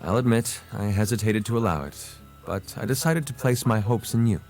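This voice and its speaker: An actor playing an eloquent, playful noble prince in a game cutscene, with a refined, theatrical manner. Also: male voice, lowish voice, a little fast, a little mellow, close to an everyday voice. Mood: measured, earnest. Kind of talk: scripted game cutscene acting. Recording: music behind the voice.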